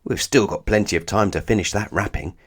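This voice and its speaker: Man putting on a booming, jovial Father Christmas. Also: a little fast, husky. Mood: breezy, playful. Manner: hearty, breezy, persuasive.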